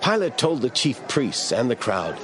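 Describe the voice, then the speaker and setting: A man narrating scripture in a radio drama, reading in a steady, measured way. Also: mid-range voice, crowd noise, noisy background.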